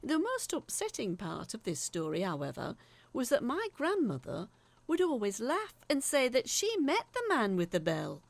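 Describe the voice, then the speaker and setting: A woman's voice: fairly high pitch, wildly swooping pitch, moderate pace, vocal fry, dry recording, full character voice. A woman playing an earnest, haunted letter writer, confiding eerie childhood tales in a dramatic scripted narration. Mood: unsettled, confiding.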